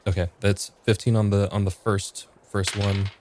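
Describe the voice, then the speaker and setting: A man talking casually and a bit haltingly as a guest player in a tabletop roleplaying game. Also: fairly low pitch, little pitch movement, background noise.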